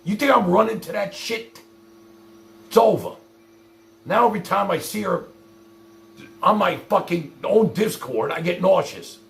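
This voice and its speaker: Male livestream host, gravelly and swinging widely in pitch; foul-mouthed, exasperated monologue aimed straight at viewers. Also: expressive, unhurried, long pauses. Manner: profane, exasperated, confrontational; addresses viewers directly with repeated rhetorical questions.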